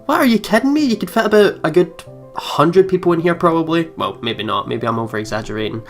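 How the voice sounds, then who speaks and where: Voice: mid-range voice, lively intonation, fast. A chatty, reactive game player giving casual commentary and reading game text aloud. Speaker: let's play host. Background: soft game music.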